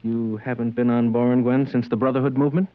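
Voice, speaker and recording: An actor playing a curt, businesslike government agent with a clipped, matter-of-fact delivery in 1950s radio drama style. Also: male voice, medium pitch, level tone, quick pace, dry recording, lightly acted. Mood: flat, guarded. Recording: thin phone-like old radio recording.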